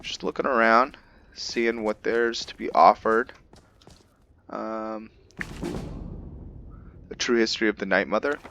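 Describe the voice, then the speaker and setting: A man with a deep voice narrates his game role-play casually. He speaks very slowly, musing aloud as "we". The recording is a little dull. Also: vocal fry, sharp tone, a little hiss or hum. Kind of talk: casual playthrough commentary.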